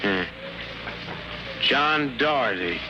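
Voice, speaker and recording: An American actor playing a surly, sarcastic tough hearse driver in a 1950s radio drama. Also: male voice, medium pitch, animated, very slow, lo-fi sound, over music. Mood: sarcastic, curt. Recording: noisy 1950s radio recording.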